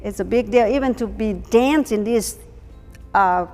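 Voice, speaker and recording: A woman's voice: mid-range voice, a little slow, a little mellow. Lady in her 60s, earnest and emphatic, bit of hall echo, music underneath. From Thailand. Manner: earnest, emphatic, non-native grammar. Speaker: ballroom dancer, interviewee. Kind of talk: interview answers.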